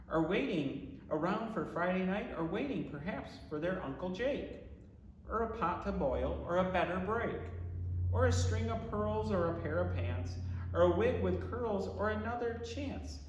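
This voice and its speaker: A man, a pastor, reading a children's book aloud in rhyming verse with expressive, theatrical, deliberate phrasing. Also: high voice, a little hiss or hum. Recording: echoey room with long reverb.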